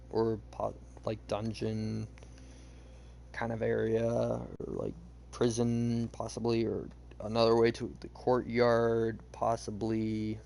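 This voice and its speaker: Young man rambling hoarsely while gaming. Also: medium pitch, a little mellow. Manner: rambling, hesitant, many fillers. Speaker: streamer. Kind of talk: casual gameplay chat.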